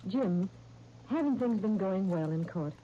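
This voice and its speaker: Mellow, patient radio-drama wife acted by a woman. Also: medium pitch, animated, measured pace, close to an everyday voice. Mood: concerned. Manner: soothing, earnest, reasoning, gently reproving. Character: patient, devoted, gently firm young wife, former actress. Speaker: radio actress. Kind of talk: 1930s radio soap opera acting. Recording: dull, noisy 1930s radio recording.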